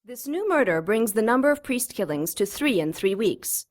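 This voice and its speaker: A woman's voice: on the high side, big pitch swings, normal speed. A woman playing a television news reporter delivering a live report in a radio drama. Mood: urgent, formal.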